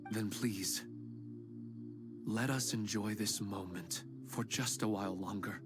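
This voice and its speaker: A man's voice: lowish voice, close to an everyday voice. A man voicing an earnest humble father. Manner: earnest, gentle, plain-spoken. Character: grieving middle-aged father, humble and sincere. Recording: game audio with music behind.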